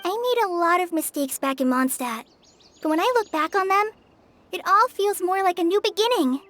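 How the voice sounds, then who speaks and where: A woman voicing a kind young female traveler in a game, gentle and reassuring. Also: extremely high pitch, expressive, normal speed, a little hiss or hum. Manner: gentle, reassuring, flustered when caught. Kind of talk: game voice acting.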